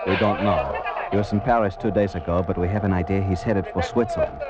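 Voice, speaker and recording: An actor playing a terse, secretive French intelligence contact in a 1940s radio drama. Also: male voice, low voice, fast talker, close to an everyday voice. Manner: terse, clipped phrases. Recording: muffled old radio recording, loud music.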